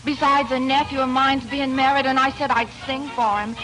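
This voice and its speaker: Wistful ex-actress played by a woman, high-pitched, familiar and chatty, vintage radio play with loud music behind. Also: little pitch movement, moderate pace, natural voice. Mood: wistful, friendly. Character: wistful, chatty former actress, warm but regretful. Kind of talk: 1940s radio drama acting.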